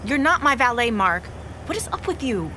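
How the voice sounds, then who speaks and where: An actress playing a stressed, stubborn young Mexican American restaurant owner in an audio drama, exasperated and expressive. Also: female voice, on the high side, normal speed, slight background noise. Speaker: lead actress in romcom drama.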